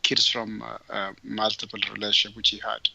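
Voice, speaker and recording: A man from Ethiopia, a guest answering interview questions, speaking haltingly with many fillers and restarts. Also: lowish voice, completely flat delivery, gravelly, sharp tone, slight background noise, rough recording. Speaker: guest entrepreneur.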